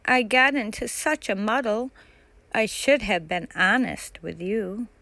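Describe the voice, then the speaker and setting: A woman's voice: mid-range voice, a little slow, gravelly, pushed and bright, dry recording. A woman voicing an anxious, earnest young fairy in a children's storybook. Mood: apologetic. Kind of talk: storybook character voice in a read-aloud.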